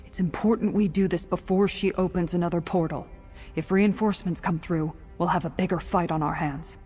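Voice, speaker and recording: A bold woman warrior from a fantasy video game , acted by a woman, speaks bluntly in a lowish voice. She is commanding and wry, a born tactician. Her words ring with long reverb over music. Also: steady tone, brisk, warm tone, lightly acted. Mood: brisk, commanding. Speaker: companion character in a fantasy game.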